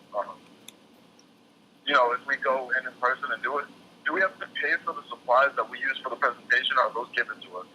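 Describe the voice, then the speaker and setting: A young man interviewing for a job over the phone, talking casually and hesitantly, often rephrasing his questions. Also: mid-range voice. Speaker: job applicant. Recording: noisy telephone call.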